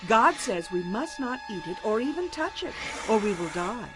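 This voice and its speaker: A woman's voice: a little high, very animated, moderate pace. An actress playing an innocent, trusting young first woman in a dramatized audio Bible, with an earnest, guileless manner. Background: music.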